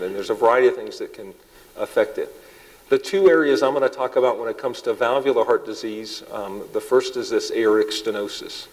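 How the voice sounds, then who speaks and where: A man in his thirties, a cardiologist, giving a public lecture to an audience in a large hall. He has a loud voice and talks haltingly, with many ums and restarts. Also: medium pitch. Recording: long hall reverb.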